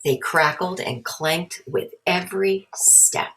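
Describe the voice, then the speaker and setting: A woman reads a children's storybook aloud. Her voice is low in pitch, and she narrates the tale as a read-along. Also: slow pace, fairly bright tone, slight background noise, poor audio.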